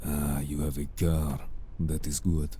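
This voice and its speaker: A man's voice: rumbling low, little pitch movement, mellow, a little hiss or hum. A man playing a composed, exasperated merman in human form, speaking formally and dryly in a scripted audio drama. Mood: calm, measured. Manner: formal, measured, dry. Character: composed, exasperated older-brotherly male merfolk in human form. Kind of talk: scripted audio roleplay acting.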